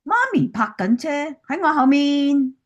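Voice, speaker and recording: A woman's voice: high voice, unhurried, dull audio. A woman playing a chirpy, curious schoolchild in a Cantonese radio drama. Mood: eager, cheerful. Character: eager curious schoolchild visiting grandparents.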